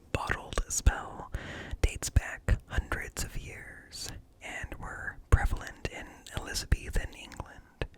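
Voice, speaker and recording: A man reading aloud in a hushed ASMR whisper, close to the microphone, pausing between short phrases. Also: low-quality recording. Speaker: ASMR creator reading encyclopedia articles aloud. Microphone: close-up microphone with ear-to-ear stereo.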